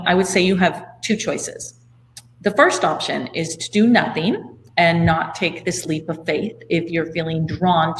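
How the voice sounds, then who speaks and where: A middle-aged woman co-hosting an online course webinar, presenting the offer in a conversational sales style. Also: lowish voice. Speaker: co-host, business partner presenting a course. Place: indoor room at home, video call.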